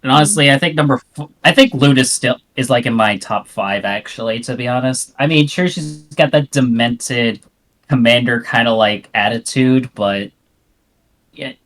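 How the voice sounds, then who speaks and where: A man's voice: mid-range voice, normal speed, fairly bright tone. A man in a casual discussion, rambling and hedging with "like" and "you know".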